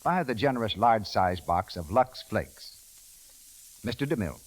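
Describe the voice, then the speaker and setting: A man announces in 1930s radio style, persuasive and polished. His voice is fairly low and slightly husky, with lively rises and falls through the scripted pitch. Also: moderate pace, rough recording. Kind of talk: 1930s scripted commercial narration.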